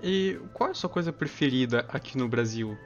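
A man's voice: mid-range voice, animated, brisk, over music. Male interviewer, dull lo-fi sound. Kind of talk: casual interview questions.

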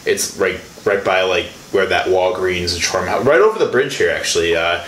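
Young man, low pitched, bright tone, rambling with fillers, echoey room. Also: expressive, moderate pace. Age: 20s. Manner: rambling, many fillers and restarts. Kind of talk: casual chat. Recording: echoey room with faint noise.

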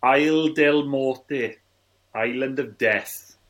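A man's voice: mid-range voice, takes it slowly. A man in his forties bantering casually as a podcast host, with a wry, teasing manner. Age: 40s. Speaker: film review podcast host.

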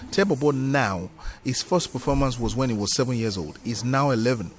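A man with a Nigerian accent and Yoruba as his mother tongue, a comedian telling stories in an animated, emphatic way during a podcast interview. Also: mid-range voice, brisk, a little hiss or hum. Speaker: guest comedian.

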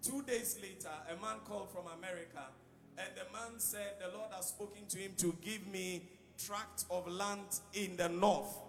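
A man's voice: high voice, creaky, fairly bright tone. A man, a pastor, earnestly giving a testimony in church. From Ghana. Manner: earnest, recounting a story.

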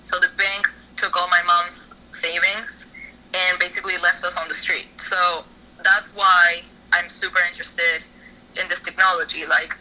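A very bright, sharp-toned young woman from Argentina talks about her art in a relaxed interview. She rambles, doubles back and fills gaps with little fillers, over a faint background hiss. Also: on the low side, poor audio. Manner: rambling, lots of fillers and restarts. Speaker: interviewee, artist. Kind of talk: casual interview.

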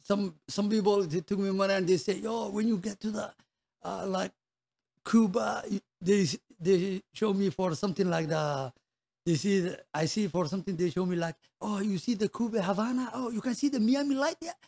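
A man from Somalia, around his thirties, with a Somali accent, telling his story casually as an interview guest in halting, repetitive non-native English. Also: high voice, fairly even intonation, vocal fry, a little mellow.

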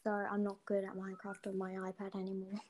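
A woman's voice: mid-range voice, monotone, fast talker, a little mellow, slight background noise. A young woman guest on a casual gaming interview who talks hesitantly, with many ums and trailing sentences, recorded on a cheap built-in mic. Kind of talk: casual chat.

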